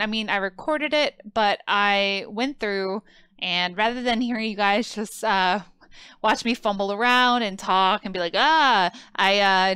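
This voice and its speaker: A woman's voice: mid-range voice, pushed and bright, smooth, dry recording. A woman hosting a let's play in a casual, rambling way, often interrupting herself, recorded as a close voice-over. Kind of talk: casual chatty gameplay commentary.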